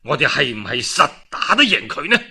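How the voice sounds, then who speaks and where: A man's voice: mid-range voice, sing-song, a little fast, creaky, bright, forceful tone, slightly rough, heavily acted. A male storyteller voicing a shrewd, powerful warlord in an emphatic, theatrical way. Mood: probing.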